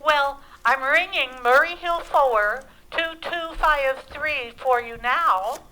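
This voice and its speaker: An actress playing an obliging, formal telephone operator in 1940s-style radio drama, with a polite, sing-song, formulaic delivery. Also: female voice, high-pitched, takes it slowly, bright, forceful tone, a little hiss or hum, exaggerated voice, low-quality recording. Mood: chirpy, polite.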